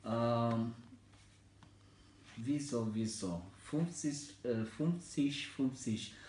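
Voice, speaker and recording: A man in his twenties from Kenya, whose first languages are Mijikenda and Swahili, speaking careful learner's German with frequent pauses and fillers. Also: mid-range voice, darkish tone, reverberant, slight background noise, low-quality recording. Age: 20s. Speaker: German learner in a practice interview. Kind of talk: slow, halting learner German with many fillers.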